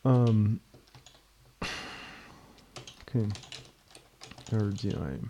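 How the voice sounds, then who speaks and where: A young man with a Slavic accent talks through code on a live stream, his voice mellow and darkish. He is worn out, halting and trailing off mid-sentence as he thinks aloud. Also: fairly low pitch, slight background noise. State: mentally tired. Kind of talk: casual live coding stream.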